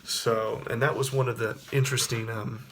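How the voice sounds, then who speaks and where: A man in his thirties from Texas, with a Texas accent and a baritone voice, talking casually to camera and rambling with many restarts. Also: flat delivery, moderate pace, fairly bright tone. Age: 30s. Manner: rambling, with frequent restarts and fillers. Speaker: actor and singer. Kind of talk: casual spoken reflection to camera.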